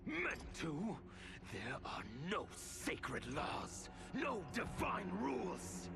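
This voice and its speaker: Deranged anarchy villain for a game, theatrical, pitch swooping all over, music under it. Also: male voice, wildly swooping pitch, very slow, vocal fry, heavily acted. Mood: exalted, mocking. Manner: theatrical, menacing, swooping delivery. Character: gloating, deranged male villain, champion of chaos and anarchy. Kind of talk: video game cutscene acting. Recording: music behind the voice.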